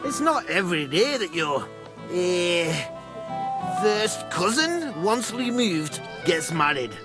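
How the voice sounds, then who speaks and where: An actor playing a wry, chatty roving young nobleman with a Scottish accent and a creaky voice in a medieval game. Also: high voice, very animated, slow, full character voice. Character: breezy, roving young Moravian nobleman, man. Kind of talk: video game dialogue acting. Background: music.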